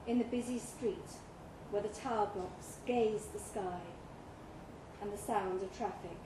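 A woman's voice: medium pitch, fairly even intonation, measured pace. A woman reading a literary short story expressively at a live event in a pub room. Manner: acts out dialogue in character. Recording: echoey room with clear background noise.

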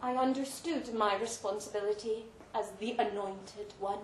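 A woman reading a literary story aloud to a live event, theatrical and deliberate, with dramatic pauses. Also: medium pitch, fairly even intonation. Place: indoor live reading in a pub venue. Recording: roomy live venue, faint background noise.

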